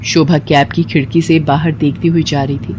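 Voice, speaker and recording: A woman's voice: low-pitched, little pitch movement, normal speed, a little mellow, slight background noise. A woman reading a scripted, dramatic story as its narrator.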